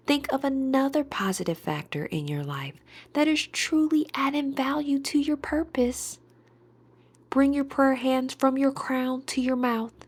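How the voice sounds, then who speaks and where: High, breathy woman guiding a meditation. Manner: soothing, deliberate, breathy with whispery word endings. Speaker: guided meditation instructor. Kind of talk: guided meditation narration.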